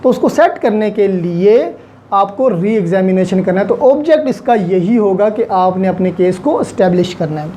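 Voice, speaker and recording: A woman's voice: medium pitch, lively intonation, normal speed, dark tone. A woman with a Pakistani accent lecturing on law in a didactic way, mixing in English terms and repeating key points for emphasis.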